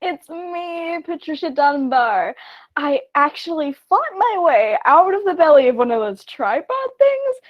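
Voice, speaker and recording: A woman plays an excitable, plucky survivor in a comic radio drama. Her high voice swoops around with lively animation. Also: measured pace, muffled recording. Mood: excited.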